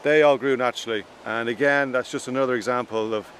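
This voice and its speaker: A man in his forties with an Irish accent, a farmer talking to camera outdoors in a relaxed, plain-spoken way with frequent pauses. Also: mid-range voice, slight background noise. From Ireland. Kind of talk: documentary talk to camera. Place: outdoors on a farm.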